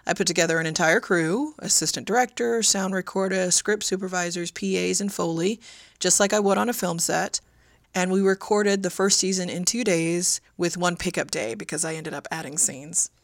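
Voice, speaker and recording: A woman's voice: lowish voice, moderate pace, dry recording, studio quality. A woman writer and producer giving a personal first-person account for a podcast.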